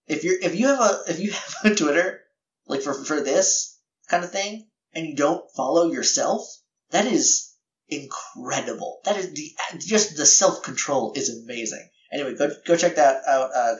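A man's voice: on the high side, roomy sound, muffled recording. A man hosting a podcast, giving casual, rambling announcements in a slightly scratchy voice, often correcting himself and chuckling at his own remarks. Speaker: podcast host.

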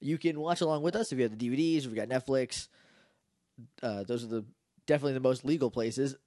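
A man co-hosting a casual podcast, chatty and quick to laugh, with a slightly nasal voice. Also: mid-range voice, no room sound, clean studio sound. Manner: chatty, laughs often, animated. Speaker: podcast co-host. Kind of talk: casual chat.

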